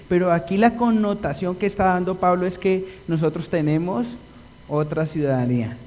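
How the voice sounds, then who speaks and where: A man preaching a live sermon in a chapel, explaining a text and asking the congregation questions, drawing out key words slowly. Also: sits high, a little mellow. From Colombia. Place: church chapel. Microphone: livestream recording. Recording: long reverb of a church hall.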